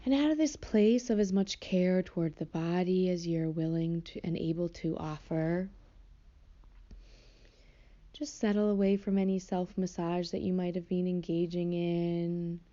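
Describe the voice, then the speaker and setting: A woman guiding a meditation in a soft, slow, gentle way. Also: fairly low pitch, lo-fi sound. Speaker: meditation teacher. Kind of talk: guided meditation.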